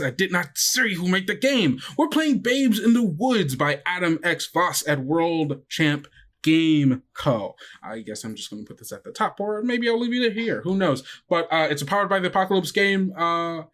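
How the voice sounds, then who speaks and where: A man's voice: fairly high pitch, a bit bright. A man hosting as game master, wrapping up a tabletop podcast in a loose, chatty way full of fillers. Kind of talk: casual podcast sign-off.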